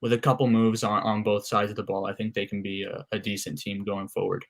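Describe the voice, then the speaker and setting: Flat, steady-voiced man talking sports. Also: fairly low pitch. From Canada. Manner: steady and measured, frequent "uh"s. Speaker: guest co-host. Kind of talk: casual sports analysis.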